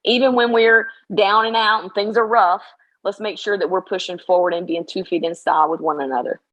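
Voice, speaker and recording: A middle-aged woman basketball coach, slightly hoarse after practice, talking in an emphatic, motivational way in a casual interview. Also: mid-range voice, fairly even intonation, brisk, a bit bright, lo-fi sound.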